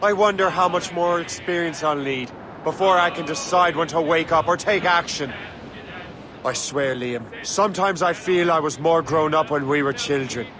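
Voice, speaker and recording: A man's voice: a little high, normal speed, crowd noise, lightly acted. A man playing a cocky, impatient young assassin and ship captain in a video game, with a defiant, sardonic manner. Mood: wry, resentful. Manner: defiant, sardonic, commanding at sea. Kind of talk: dramatic game voice acting. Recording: wind and sea noise behind.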